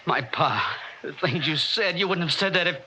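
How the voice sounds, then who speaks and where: An actor playing a hot-tempered, henpecked working husband in a 1960s radio drama, argumentative and pleading. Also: male voice, fairly high pitch, lively intonation, normal speed, sharp tone. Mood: stunned, pleading. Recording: hissy old radio recording.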